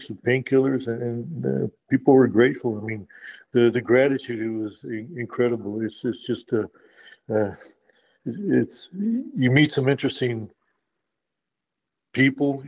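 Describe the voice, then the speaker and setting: A retired army medic from Texas, telling stories in a relaxed interview with many restarts and a habit of saying "man". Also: medium pitch, low-quality recording. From Texas, USA. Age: older. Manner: frequent restarts and repeated words, says "man" often. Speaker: guest, retired Special Forces medic. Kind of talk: conversational interview storytelling.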